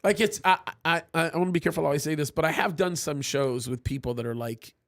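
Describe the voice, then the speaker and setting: A man hosting a live stream, chatting casually and reading out viewer messages, animated and quick to laugh, often restarting his sentences. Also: a little high. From Egypt. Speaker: host of a live stream show.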